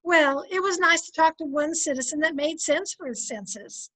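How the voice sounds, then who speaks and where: A woman's voice: high-pitched, moderate pace, a bit bright, poor audio. A woman playing a patient, prim and practical wife in old-time radio comedy style, politely correcting and pleading. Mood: pleasant, practical.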